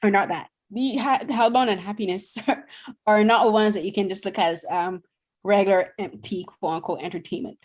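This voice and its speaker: A woman's voice: medium pitch, moderate pace. A young woman from Barbados co-hosting a podcast, chatting casually about shows she watched, with lots of fillers and restarts. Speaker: podcast co-host.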